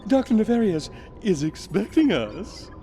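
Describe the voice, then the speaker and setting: An actor voicing a man who poses as a sweet, flirtatious female nurse in fake falsetto, in a video game. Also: big pitch swings, unhurried, warm tone, slight background noise, heavily acted. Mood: coy, bluffing. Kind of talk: video game cutscene acting.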